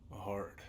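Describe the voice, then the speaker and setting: An actor playing a gruff, weary middle-aged American lawman in a naturalistic game drama. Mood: weary, regretful. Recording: noisy game capture with hum.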